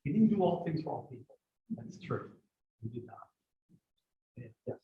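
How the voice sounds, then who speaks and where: A man's voice: a little high, animated, normal speed. A man born in Greece giving a history lecture and answering audience questions in a thoughtful, digressive way with many restarts. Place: community center lecture room. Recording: echoey room, dull lo-fi sound.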